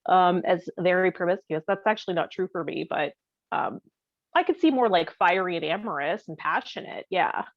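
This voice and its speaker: Donna dal suono ovattato, chiacchiera da podcast. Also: female voice, fairly low pitch, expressive, normal speed, no echo. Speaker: podcast co-host. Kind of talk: casual comedic podcast chat. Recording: dull, boxy, dry lo-fi audio.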